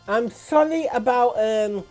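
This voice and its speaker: A woman's voice: on the high side, mellow. A woman hosting a reaction video with a blocked nose, chatting casually and apologetically to viewers. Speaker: reaction channel host.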